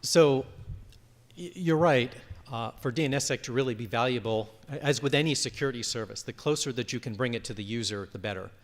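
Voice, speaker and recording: A man's voice: medium pitch, brisk. A man giving a conference presentation and fielding audience questions. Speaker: conference speaker. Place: large conference room. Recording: reverberant hall.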